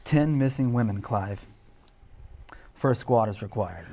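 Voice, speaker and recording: A man's voice: medium pitch, slow, warm tone. A man playing an earnest, exasperated police colleague who presses his point in a screenplay table read. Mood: firm, weary.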